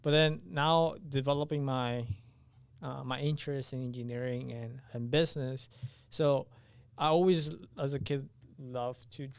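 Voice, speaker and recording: A man's voice: mid-range voice, steady tone, unhurried, vocal fry, smooth, no room sound. A young man from Honduras, a native Spanish speaker, giving casual interview answers and often searching for his words. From Honduras, moved to the US at nine. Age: young adult. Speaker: podcast guest, engineering student.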